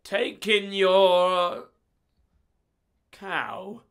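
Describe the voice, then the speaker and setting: A man voicing a wise old man in a storybook, giving calm, drawn-out instructions. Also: sits high, clear, resonant tone, pitched above the performer's own voice, full character voice. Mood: calmly instructing. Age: older.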